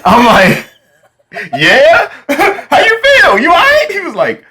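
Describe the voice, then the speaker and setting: Bright-voiced joking man in his thirties. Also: very high voice, wildly swooping pitch, slow pace, a little hiss or hum. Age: 30s. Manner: joking, laughs often, acts out quoted voices. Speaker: podcast host. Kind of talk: casual chat.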